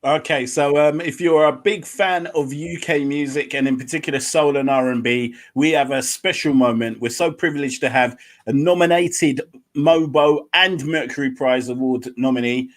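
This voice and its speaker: A man's voice: on the high side, moderate pace. A man co-hosting a podcast, introducing and questioning a guest enthusiastically, with many fillers. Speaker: podcast co-host. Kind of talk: casual interview.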